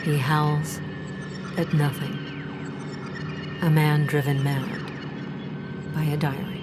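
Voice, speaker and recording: A woman's voice: low-pitched, level tone, takes it slowly, heavy background noise. A woman giving dramatic scripted narration as the narrator of an audio drama, with music behind her.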